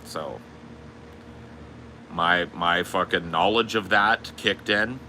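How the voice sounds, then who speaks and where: Deep-voiced booming man, profane and blunt. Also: slow pace, bright, forceful tone, a little hiss or hum. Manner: profane, storytelling. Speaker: podcast host. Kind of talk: casual chat.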